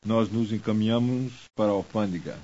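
Man, lowish voice, slow deliberate drill delivery, dull lo-fi tape sound. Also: dark tone. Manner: slow, deliberate, evenly paced drill responses. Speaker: language course drill speaker. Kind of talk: scripted language drill.

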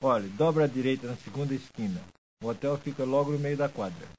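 A man reading scripted language-lesson sentences in a deliberate, clearly enunciated way. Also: medium pitch, darkish tone, slight background noise. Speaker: language drill reader and dialogue speaker. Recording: muffled lo-fi language tape.

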